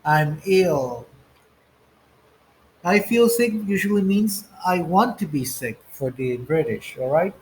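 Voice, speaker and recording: A man's voice: on the high side, lively intonation, takes it slowly, slight background noise, poor audio. A man teaching an English lesson, going through textbook exercises step by step and reading the example phrases aloud.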